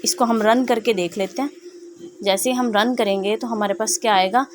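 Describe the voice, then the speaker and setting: Patient woman teaching code, slightly high voice. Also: steady tone. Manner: slow word-by-word reading of code output; rhetorical questions, frequent "ठीक है" check-ins. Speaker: programming teacher. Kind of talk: explaining code in a tutorial. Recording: faint background noise.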